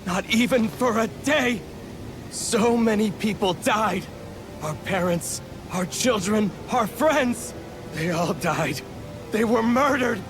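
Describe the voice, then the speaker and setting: A woman playing an embittered, grieving former slave in a dramatic video game scene, speaking in a halting, emphatic way. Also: fairly high pitch. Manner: slow, halting, emphatic delivery.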